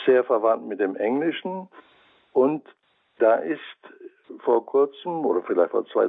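Franconian-accented male commentator, measured and scholarly, raspy low-frequency grit, heard through a narrow radio line. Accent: Franconian German. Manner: measured, scholarly, searching for words. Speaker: commentator on Bible translation. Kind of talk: reflective spoken radio essay.

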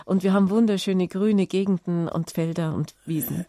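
A woman's voice: on the low side, normal speed, warm tone, no echo. A woman working as an interpreter, rendering speech aloud in hesitant, pieced-together phrases. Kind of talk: spoken consecutive interpreting.

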